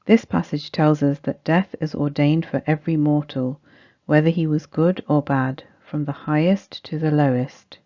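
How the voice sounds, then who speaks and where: A woman's voice: sits low, fairly even intonation, normal speed, mellow. A woman reading a scripted religious study text aloud as narration. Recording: dull, muffled lo-fi recording.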